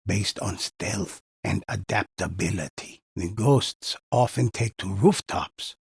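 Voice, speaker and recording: An actor playing a mysterious, solemn older male storyteller in a video game, telling legends in a measured, portentous way with a husky, gravelly voice. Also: low-pitched, dry recording, heavily acted. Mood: reverent, hushed intensity. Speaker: storyteller narrator. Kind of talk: dramatic scripted storytelling narration for a video game.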